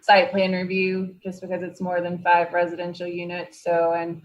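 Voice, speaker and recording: A woman's voice: fairly low pitch, monotone, moderate pace, a little hiss or hum, rough recording. A woman on staff at a public meeting, clarifying procedure in a matter-of-fact official way. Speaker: zoning board staff member.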